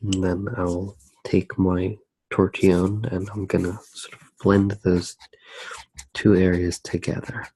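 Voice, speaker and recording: A man softly narrating his drawing for ASMR, hushed, unhurried and musing as he works. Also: sits low, slightly rough, rough recording.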